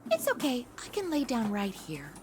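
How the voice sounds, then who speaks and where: A woman's voice: fairly high pitch, huge pitch swings, normal speed. An actress playing a tipsy, flippant, blunt woman in a comedy audio drama. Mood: tipsy, sardonic.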